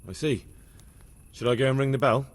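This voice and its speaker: Casting: male actor as young blacksmith's son turned watchman, RPG. Medium pitch, agreeable, unfussy, reverberant sound. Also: steady tone, brisk, natural voice. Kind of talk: video game dialogue acting.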